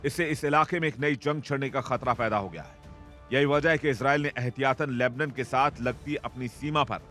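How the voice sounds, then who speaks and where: A man reading a scripted bureau news report in a steady, measured way. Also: mid-range voice, faint music behind.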